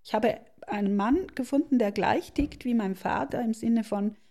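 A middle-aged woman from South Tyrol with a South Tyrolean accent, speaking reflectively as an interview guest in searching, halting phrases. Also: on the high side, mellow. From South Tyrol, Italy.

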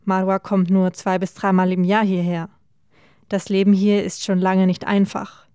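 A female news reporter reads a scripted report at a measured, even pace. Her voice is mellow and stays flat in pitch. The recording is dry and close. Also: clean tone, dry recording.

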